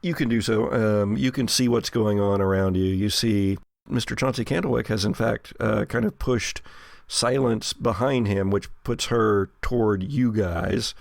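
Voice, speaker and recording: A man's voice: on the low side, moderate pace, gravelly, no echo, clean studio sound. A man improvising narration as game master of a horror tabletop game.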